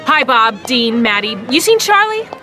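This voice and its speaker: A woman's voice: on the high side, big pitch swings, moderate pace, sharp tone, faint music behind. A woman playing a brassy, slangy 1940s wife in a full-cast audio drama. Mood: breezy, searching. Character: brassy 1940s wife out hunting her husband.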